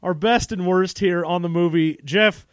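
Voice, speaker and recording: A man's voice: high voice, measured pace, smooth, no echo, clean studio sound. A man hosting a casual movie review podcast with a sarcastic manner. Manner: sarcastic, runs the segments. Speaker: podcast host.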